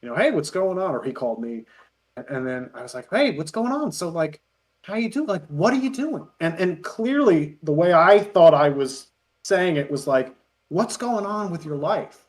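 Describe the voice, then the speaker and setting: A man with a fairly high voice chats as a guest on an interview show. He tells stories informally and with animation, slipping into quoted back-and-forth as he goes. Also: moderate pace. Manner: animated storyteller who acts out quoted dialogue. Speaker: interview guest, web parody creator. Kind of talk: casual anecdotal chat.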